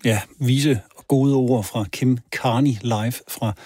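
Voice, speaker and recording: A man's voice: medium pitch, steady tone, unhurried, mellow, no echo, studio quality. A man presenting as a radio host, linking interview clips in a narrated style.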